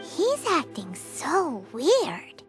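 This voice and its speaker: A woman voicing a tiny, childlike female fairy sidekick in a fantasy game, squeaky, blunt and excitable. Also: drawn-out words, full character voice. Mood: curious, puzzled. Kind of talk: anime-style fantasy game voice acting. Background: prominent music.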